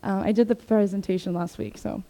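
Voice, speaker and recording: A woman's voice: mid-range voice, fairly even intonation, brisk, creaky, warm tone. A young woman, giving a casual, filler-heavy career talk to students in a classroom. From Sweden, raised in Maryland, USA. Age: 20s. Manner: chatty, informal, many fillers and asides. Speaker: presenter. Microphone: 360 camera in the room.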